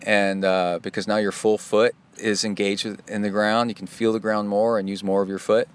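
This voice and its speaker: Chatty middle-aged man, low smooth voice. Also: little pitch movement, moderate pace. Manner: loose, chatty, jokey asides. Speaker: podcast host and triathlon coach. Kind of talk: casual rambling podcast monologue. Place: outdoors in a park.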